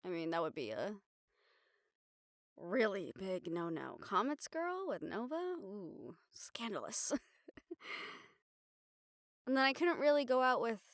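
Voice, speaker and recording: A woman acting a young reporter girlfriend in an intimate audio roleplay, wistful but bravely upbeat, musing in halting, expressive phrases. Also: mid-range voice, dry recording, clean studio sound. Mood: soft, teasing.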